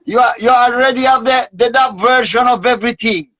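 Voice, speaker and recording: A man's voice: extremely high pitch, brisk, crowd noise. A man from Italy, asking questions in a casual live video chat in English, with Jamaican patois phrases mixed in. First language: Italian. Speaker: guest interviewer.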